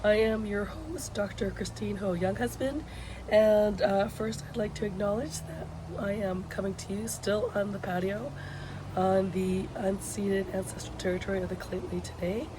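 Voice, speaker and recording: A woman with a hoarse, sick voice speaks as an instructor in an informal video blog, giving updates to her students in a hesitant, pausing way. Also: mid-range voice, fairly even intonation. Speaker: university education instructor hosting a video blog. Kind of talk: informal course announcements to students. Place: outdoors on a patio. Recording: loud outdoor ambient vehicle noise.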